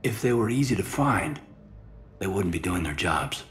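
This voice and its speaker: An actor playing an earnest, soft-spoken male soldier who has just been made an elite agent. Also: sits low, expressive, normal speed, slight background noise. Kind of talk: video game voice acting.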